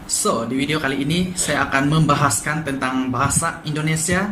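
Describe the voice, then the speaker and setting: A man from Sabah with a Sabah Malay accent, explaining language differences in a quick, lively vlog style and mixing in English words. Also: fairly high pitch, over music. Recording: echoey room with faint noise.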